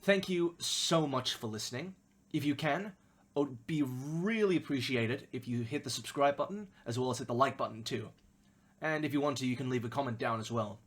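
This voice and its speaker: A man's voice: mid-range voice, moderate pace. A man reading scripted narration as the narrator of his own audio play. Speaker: narrator and writer of audio plays.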